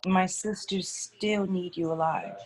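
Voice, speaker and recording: A woman playing a gentle, forgiving daughter returned from death, reading earnestly in a drama table read. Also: fairly low pitch, fairly even intonation, slow, crowd noise, low-quality recording. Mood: tender, pleading. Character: gentle forgiving ghostly young daughter, pleading girl.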